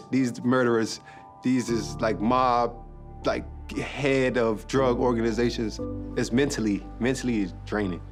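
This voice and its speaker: A man from Washington, D.C., talking casually and rambling with many fillers in a documentary interview. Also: mid-range voice. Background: music.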